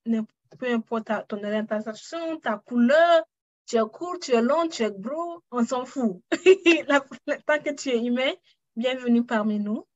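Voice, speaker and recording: This is a woman hosting a workshop on a video call. Her voice sits a touch high and she moves the group along briskly, with frequent laughter. The audio is dry and somewhat muffled. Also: lively intonation, no room sound. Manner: brisk, welcoming, laughs easily. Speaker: workshop host.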